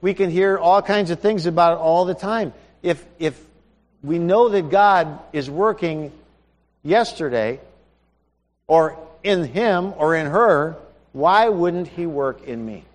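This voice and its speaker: A man's voice: fairly high pitch, animated, slow, big-room sound. A man preaching a sermon in a conversational way, asking his listeners questions.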